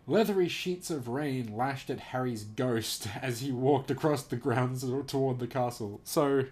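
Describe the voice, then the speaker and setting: A man's voice: medium pitch, unhurried, a little mellow. A man casually reading aloud and commenting as host, amused and giggly, swearing now and then.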